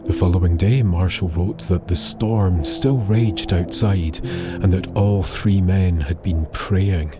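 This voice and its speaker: A man with a low, deep voice tells a dark tale over music. His delivery is very unhurried and solemn, each phrase weighed and set apart by pauses. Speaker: storyteller, narrator. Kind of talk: scripted narration of an eerie tale.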